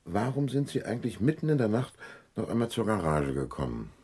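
Deep-voiced police inspector in old radio krimi, official, measured, keeps probing. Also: male voice, sing-song, a little mellow, breathy edge. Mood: probing, courteous. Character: methodical male police inspector, probing, courteous, stern. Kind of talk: vintage German radio crime play acting.

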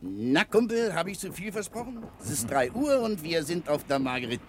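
An actor playing a chummy, slangy, streetwise crony in a 1960 German radio crime play. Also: male voice, fairly high pitch, very animated, normal speed. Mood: pleased, matey. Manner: slangy, matey. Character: chummy, streetwise male crony, eager and nosy. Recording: noisy vintage radio play recording.

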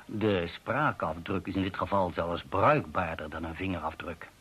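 An actor playing a measured, learned male professor in a radio drama, speaking in a precise lecturing manner. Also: sits low, fairly bright tone, breathy edge, heavily acted. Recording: muffled old radio drama recording.

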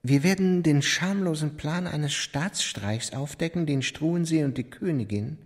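An actor as a calculating courtier in a historical radio drama. His voice sits a little high for a man, and he lays out schemes in cold, formal, measured phrases. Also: close to an everyday voice. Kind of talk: German radio play acting.

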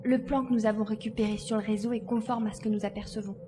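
A woman playing a spirited, resourceful young girl in a dramatised audiobook, lively and assured in her delivery. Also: medium pitch, fast talker, natural voice. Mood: focused. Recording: faint background noise.

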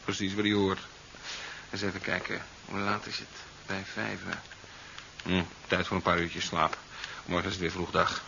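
An actor playing a brisk, jovial, slightly eccentric doctor-scientist in a 1970s radio play, hearty one moment and muttering to himself the next. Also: male voice, low voice, completely flat delivery, gravelly, pushed and bright, heavily acted. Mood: muttering, tired. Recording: muffled 1970s radio recording with hiss.

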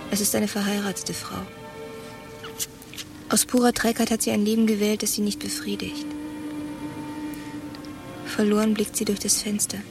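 A woman's voice: mid-range voice, lightly acted. A woman playing a nosy, judgmental onlooker in a radio play, reporting what she spies in short, insinuating phrases. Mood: watchful, judging.

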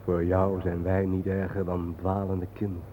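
An actor playing a brooding, philosophical man in a radio drama, with grave, poetic, deliberate delivery. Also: deep voice, completely flat delivery, mellow, close to an everyday voice. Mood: bitter brooding. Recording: narrow phone-like old radio recording, faint hiss.

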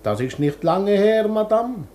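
An actor in a German radio play playing a chatty, flattering hairdresser from Lyon, effusive and obsequious. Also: male voice, high voice, a little slow, slight background noise.

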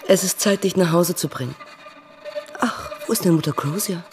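A woman's voice: lowish voice, normal speed, lightly acted. An actress playing a composed, tactful married woman in a radio drama. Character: adult woman, composed, tactful wife of the house. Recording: loud music behind the voice.

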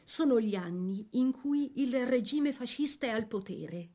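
A woman narrating a scripted biography for a radio drama in a measured, literary storytelling manner. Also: fairly high pitch, expressive, dark tone.

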